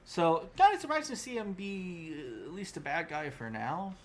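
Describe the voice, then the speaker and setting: A man's voice: on the high side, very animated, normal speed, slight background noise. A man co-hosting a podcast in an informal, chatty style, acting out reactions as he talks. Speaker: podcast co-host. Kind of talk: casual chat about anime.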